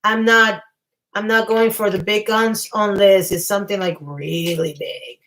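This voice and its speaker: A woman hosting a casual livestream while losing her voice, rambling and often clearing her throat. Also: mid-range voice, steady tone, unhurried, a bit bright, low-quality recording. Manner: chatty, reads viewer comments aloud. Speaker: livestream host.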